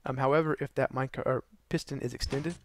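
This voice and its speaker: Hoarse, tired young man with a gravelly voice. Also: fairly even intonation, fast, no room sound. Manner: loose and rambling with many ums and uhs; tired, low energy. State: hoarse, losing his voice. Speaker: gaming channel host. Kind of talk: casual tutorial narration over gameplay.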